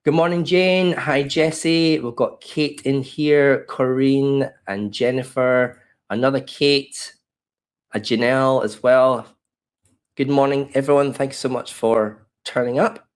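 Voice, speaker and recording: A man in his fifties with an Australian accent presenting a live online workshop from his home office over a webcam call. He sounds a little croaky from a cold and talks in a loose, welcoming way with plenty of fillers. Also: medium pitch, steady tone, takes it slowly. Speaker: webinar presenter. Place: home office in a desk chair. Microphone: webcam video call.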